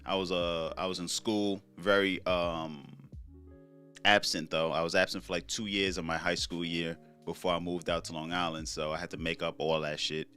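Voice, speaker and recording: Young male podcast host from Trinidad, very low voice, loose rambling delivery, faint music underneath. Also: flat delivery, moderate pace, bright, forceful tone. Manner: laid-back, rambling, profane, many fillers. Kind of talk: casual solo commentary.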